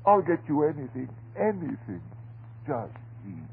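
Frantic husband, wildly swinging voice. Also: male voice, a little high, very animated, creaky, heavily acted. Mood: coaxing. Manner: melodramatic, pleading, halting. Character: frantic, devoted, unhinged husband guarding his transformed beloved. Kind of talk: vintage horror radio drama acting. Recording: muffled, noisy old radio recording.

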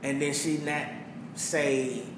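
A man's voice: fairly high pitch, steady tone, takes it slowly. A man who rants passionately as a podcast host, preachy and full of profanity. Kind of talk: casual opinion rant. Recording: echoey room with faint hiss.

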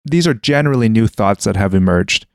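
Low-voiced man thinking aloud with restarts. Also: animated, brisk, gravelly, no room sound. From Western world (Canada). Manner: hesitant, many restarts and "like" fillers, thinking aloud. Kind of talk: casual conversation.

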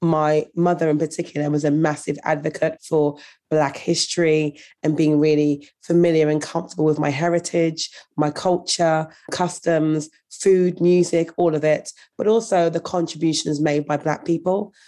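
A woman from Birmingham with a croaky voice from a cold, telling her story earnestly as an interview guest. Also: low voice, level tone, moderate pace, mellow. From Birmingham, England. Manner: earnest, thoughtful, with some repeated words. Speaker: guest, anti-racism consultant.

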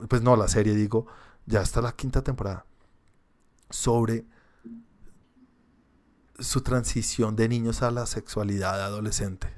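A man's voice: on the low side, a little slow, pauses often. A man with a Colombian accent co-hosting a podcast, chatting casually about shows and films, rambling and swearing. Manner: animated, swearing, laughing, rambling. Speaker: podcast co-host.

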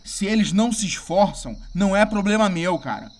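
A man's voice: high voice, expressive, brisk. A man voicing a cocky, impatient young scout in an audio drama, slangy and combative, with a Brazilian accent. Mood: angry, scornful. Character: cocky, foul-mouthed young male scout, impatient and selfish.

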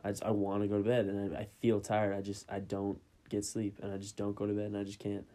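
Mellow-voiced man, rambling and playful. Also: fairly low pitch, completely flat delivery, fast. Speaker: podcast co-host. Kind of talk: comedy sketch and casual podcast chat.

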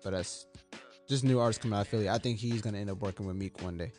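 A man's voice: lowish voice, very fast, a little mellow. An American man chatting casually with friends on a podcast in a laid-back, slangy way. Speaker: podcast host. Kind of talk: casual banter among friends. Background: music playing in the background.

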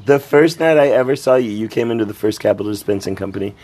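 A man hosting a casual interview outdoors, chatty and eager, with quick affirmations. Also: on the low side, a little mellow, slight background noise. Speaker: interview host.

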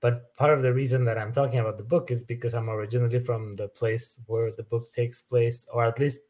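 A man from Colombia answering as an interview guest, relaxed and hesitant with fillers. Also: medium pitch, brisk. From Bogotá, Colombia.

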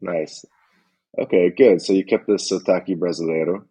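A man hosting a casual podcast interview, thinking aloud in a measured way as he frames his questions. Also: deep voice, fairly even intonation, mellow, slightly rough.

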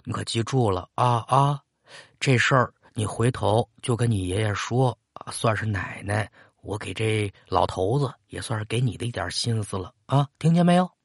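Guy doing an old grandma in a spooky story, coaxing and fussy, hushed folksy talk, dry sound. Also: mid-range voice, very animated, moderate pace, no room sound. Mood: insistent. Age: older. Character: hushed, doting, ghostly old grandmother, gently scolding.

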